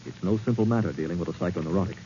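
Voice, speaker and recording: Low, mellow young intern. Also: male voice. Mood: calm, resolute. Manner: earnest, measured, clinical. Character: brave, level-headed young male medical intern. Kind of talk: 1940s radio acting. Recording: dull, hissy 1940s radio recording.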